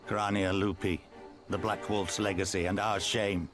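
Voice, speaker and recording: An actor playing a weary, stern older rebel leader in a game cutscene, speaking gravely and bitterly. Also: male voice, sits low, little pitch movement, unhurried, music in the background. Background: orchestral game score.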